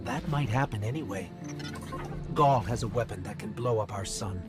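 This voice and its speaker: A man voicing a small floating robot companion in game cutscenes. He sounds lively, curious and loyal. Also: on the low side, normal speed, over music, natural voice. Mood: alert. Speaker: game character. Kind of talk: video game cutscene acting. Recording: game mix with loud score.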